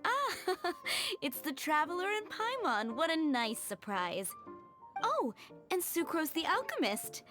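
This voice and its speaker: A gracious tavern keeper in a video game. She speaks high and melodically, welcoming with a playful tease. Music is clearly heard behind her. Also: huge pitch swings, takes it slowly, exaggerated voice. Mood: welcoming, teasing. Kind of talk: animated video game voice acting. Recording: studio voice over game music.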